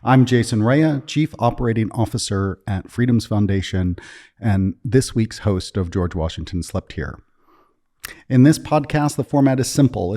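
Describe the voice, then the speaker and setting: A man's voice: on the low side, warm tone. A man hosting a podcast, reading a friendly scripted intro and then chatting casually with his guest, with some ums. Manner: friendly, reads promos crisply, then loose with fillers. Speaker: podcast host.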